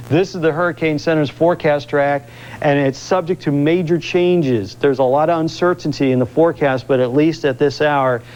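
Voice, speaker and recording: A dull, lo-fi broadcast recording of an American man presenting the weather. His pitch is middling for a man. He talks viewers through conditions in an explanatory, advisory way, unscripted and live. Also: darkish tone. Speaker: TV weather forecaster. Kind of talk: live ad-libbed weather report. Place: TV studio.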